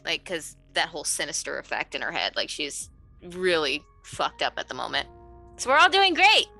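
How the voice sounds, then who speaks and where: A woman's voice: low-pitched, big pitch swings, normal speed, gravelly, pushed and bright, soft background music. A woman playing a tabletop game, talking casually and wryly about a character.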